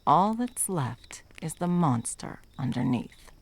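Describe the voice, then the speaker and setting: A woman's voice: deep voice, sing-song, takes it slowly, vocal fry, heavily acted. A woman playing a wry, menacing monster hunter who is sadly in love, in intimate ASMR roleplay with a theatrical, sardonic delivery that dips into whispers. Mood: grim, pointed.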